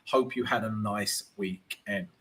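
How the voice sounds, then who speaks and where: A man with a gravelly voice today, hosting a live trading stream and walking viewers through charts. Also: fairly low pitch, unhurried. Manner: animated, keeps asking "right?", greets chat viewers. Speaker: forex trading livestream host.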